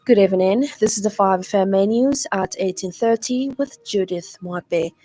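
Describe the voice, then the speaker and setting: A woman's voice: mid-range voice, normal speed, mellow, no echo, soft background music, rough recording. A woman with a Zambian accent reading a formal radio news bulletin, stumbling now and then over words. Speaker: news reader. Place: radio studio.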